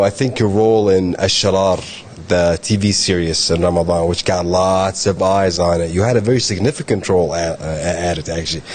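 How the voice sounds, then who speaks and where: A male host interviewing a guest, eager and chatty, often chiming in with quick agreements and stumbling as he jumps in. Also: on the low side, darkish tone, a little hiss or hum. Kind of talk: casual interview hosting.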